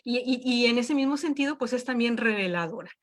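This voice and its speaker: A woman's voice: fairly high pitch, expressive, brisk. A woman leading an online workshop from home, talking with many fillers and restarts. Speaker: workshop instructor.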